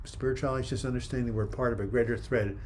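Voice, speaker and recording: An older man hosting a casual live chat, reading viewer comments in a calm, soft-spoken way with frequent restarts. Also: mid-range voice, rapid-fire, a little hiss or hum. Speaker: livestream host.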